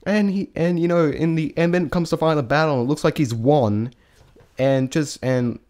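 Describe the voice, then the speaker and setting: A man's voice: fairly high pitch, normal speed, darkish tone. A man giving a casual, rambling spoken review, often restarting his sentences and stating blunt, informal opinions. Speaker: vlogger reviewing a stage show.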